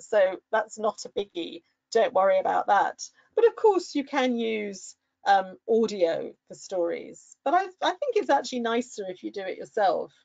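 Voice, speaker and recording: A middle-aged woman giving an encouraging talk to fellow English teachers, with lively bursts when quoting pupils. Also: a little high, dry recording, dull audio.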